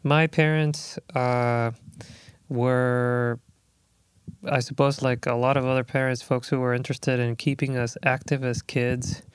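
A man's voice: medium pitch, flat delivery, measured pace, smooth, dry recording. A man in his thirties from Puerto Rico, a musician speaking as a guest in a recording studio, thoughtful and reflective with frequent fillers. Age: 30s. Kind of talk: casual interview talk.

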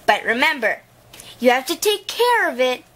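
A woman's voice: high voice, sing-song, normal speed, a bit bright, full character voice. A woman voicing an eager, helpful young boy from a picture book in an encouraging manner.

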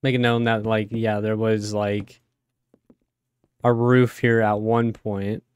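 A young man with a raspy voice from a cold, rambling casually with many fillers as he plays a game. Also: lowish voice, little pitch movement, slow pace, dry recording. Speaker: let's play host. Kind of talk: casual gameplay commentary.

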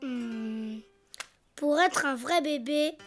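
A performer voicing a cheerful, imaginative little boy donkey in a cartoon, in a childlike, expressive way. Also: high voice, drawn-out words, soft background music, exaggerated voice. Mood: playful. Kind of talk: cartoon voice acting.

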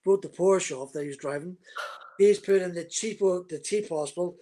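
A man's voice: high voice, moderate pace, mellow. A man, a guest on a video call telling a vivid, detailed story from his racing days. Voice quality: husky. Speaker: guest, former racing driver. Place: room at home, indoors. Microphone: webcam on a video call.

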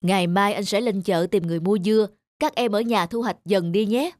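A man voicing a bossy, self-important young eldest brother in an animated folk tale, authoritative and self-righteous. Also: sits high, brisk, no room sound, close to an everyday voice. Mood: instructing.

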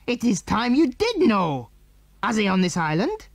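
An angry, suspicious foreign enemy as a male reader plays him in a children's storybook. He barks commands in stilted English, with a raised pitch that swings widely, recorded close and dry. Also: huge pitch swings, measured pace, creaky, dry recording, heavily acted. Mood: disgusted, threatening. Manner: barking, demanding, stilted English. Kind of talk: children's audiobook character.

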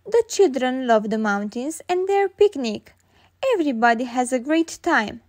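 A woman reading children's stories aloud in an expressive way, singsong in the refrains. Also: high-pitched, moderate pace. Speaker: children's storybook reader. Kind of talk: read-aloud narration for young children.

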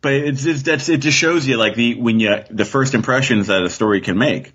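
A man's voice: medium pitch, a little fast, a bit bright, husky. A man chatting casually as a podcast guest, rambling and thinking aloud as he goes. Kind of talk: casual chat. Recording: dull, muffled lo-fi sound.

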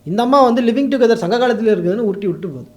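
Fast, high-voiced Tamil Nadu man. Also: animated. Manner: code-mixes English words into speech. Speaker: interview guest, political YouTube commentator. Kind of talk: opinionated interview talk.

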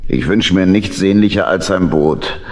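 An actor playing a wistful, homebody old badger uncle in a children's audio play, sighing and grumbling. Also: male voice, sits low, normal speed, natural voice. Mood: wistful, resigned.

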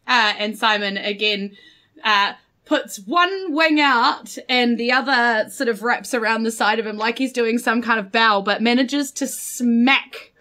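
Female game player, New Zealand accent, high bright voice, casual improvised storytelling. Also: a little slow.

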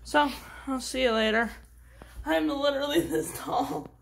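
Woman with a high creaky voice, casual phone vlog, keeps restarting sentences. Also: expressive, a little slow, noisy background. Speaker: amateur video creator and character voicer. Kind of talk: casual show-and-tell vlog. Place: room at home. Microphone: handheld phone.

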